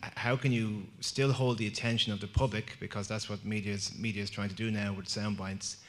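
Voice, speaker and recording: A man's voice: lowish voice, level tone, fairly quick, fairly bright tone. A man asking a long question from the floor at a conference, rambling and searching for words. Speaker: audience questioner. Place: conference function room.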